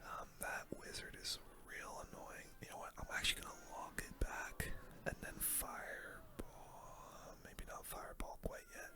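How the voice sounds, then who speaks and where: Whispering ASMR gaming host. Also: measured pace. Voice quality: soft unvoiced whisper. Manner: casual, hushed play-by-play. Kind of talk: whispered ASMR game commentary.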